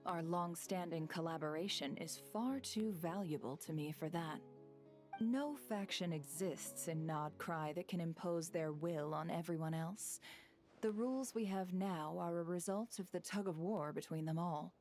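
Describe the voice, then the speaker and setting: An actress playing a shrewd, diplomatic guild leader in a video game, speaking in a measured, formal, assured way. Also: female voice, fairly low pitch, a little mellow, soft background music, close to an everyday voice. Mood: calm, diplomatic. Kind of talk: English video game voice acting.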